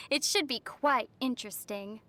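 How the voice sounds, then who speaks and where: A woman voicing a young female traveler in a video game, calm and playfully teasing, with wry delivery. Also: sits high, unhurried, heavy background noise.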